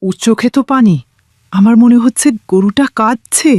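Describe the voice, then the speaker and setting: A woman's voice: a little high, moderate pace, a little mellow, dry recording, clean studio sound, natural voice. A woman voicing a frank, earnest village child, a friend in a cartoon. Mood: concerned. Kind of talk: cartoon voice acting.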